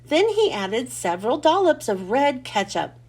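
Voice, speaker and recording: A woman reading a children's storybook aloud as host, with expressive, clearly enunciated delivery. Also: sits high, unhurried.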